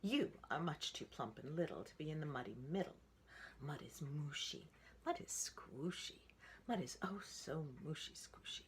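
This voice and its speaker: A woman's voice: sits low, lively intonation, moderate pace, a little hiss or hum. A woman voicing a fussy mother pig from a storybook, scolding in an emphatic sing-song way.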